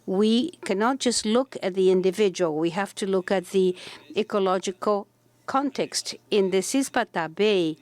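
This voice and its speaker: A woman delegate making a measured, formal comment in a large conference hall. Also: mid-range voice, darkish tone. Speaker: civil society delegate.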